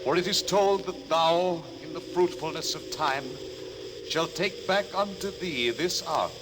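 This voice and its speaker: An actor playing the ancient last survivor of a subatomic race, speaking in solemn, archaic, ceremonial phrases in a 1950s radio drama. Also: male voice, on the high side, a little slow, noisy background, natural voice. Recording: old radio broadcast with music behind.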